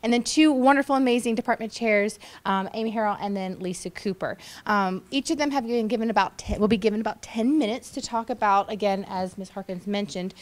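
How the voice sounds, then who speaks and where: A woman introduces panelists at a college event, chatty and casual, with friendly asides. Her voice sits fairly high. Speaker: panel moderator. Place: warm college room.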